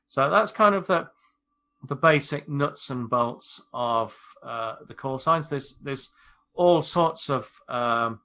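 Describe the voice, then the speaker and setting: A British man, an airline pilot, explaining things in a measured, informal way on a podcast. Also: medium pitch, animated, pushed and bright, a little hiss or hum. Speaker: airline pilot, expert guest.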